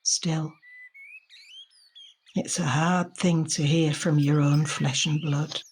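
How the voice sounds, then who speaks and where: Slow-speaking, tender older grandmother, acted by a woman. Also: sits low, flat delivery, a little mellow. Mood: reflective, tender. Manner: measured, tender, heartfelt. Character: gentle, reflective grandmother, encouraging ally of a young man. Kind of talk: audio drama monologue and dialogue. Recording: noticeable background noise with birdsong.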